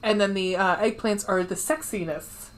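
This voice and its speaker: A woman's voice: mid-range voice, fairly even intonation, moderate pace. A woman co-hosting a book club podcast in casual chat, with a jokey, informal, sweary way of talking.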